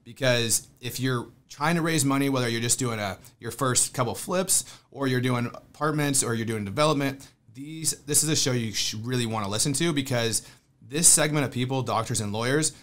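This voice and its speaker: Man, podcast host, stuffy from a cold, rambling, upbeat, mid-pitched. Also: normal speed, a bit bright. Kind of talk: casual podcast chat.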